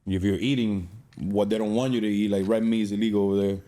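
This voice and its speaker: A young man from Cuba with a fairly low, very mellow voice. He talks as a podcast guest, casually telling his own story in streetwise slang with plenty of swearing. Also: fairly even intonation, quick pace.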